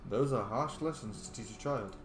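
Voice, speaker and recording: A man's voice: medium pitch, normal speed. A man giving casual, reactive commentary while playing a role-playing game, slangy and sweary in a playful way. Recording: roomy echo, faint noise.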